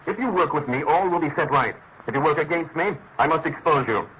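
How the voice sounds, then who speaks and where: An actor playing a shrewd, imperious master detective in a classic radio drama, incisive and urgent. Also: male voice, on the high side, animated, brisk, close to an everyday voice. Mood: stern. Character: brisk, commanding, shrewd male master detective. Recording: tinny, narrow-band old radio, faint noise.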